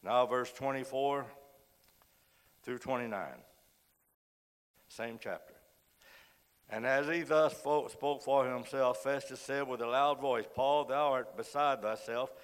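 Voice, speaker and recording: A man with a cold, hoarse as he preaches a sermon, reads scripture and says frequent amens. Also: mid-range voice, steady tone, slow pace, long pauses. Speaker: guest preacher. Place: church sanctuary. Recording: long reverb of a church hall.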